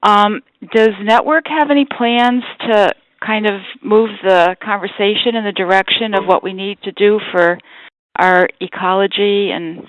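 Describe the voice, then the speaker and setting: A woman's voice: mid-range voice, measured pace, no room sound, low-quality recording. A woman member asking a question informally on a conference call.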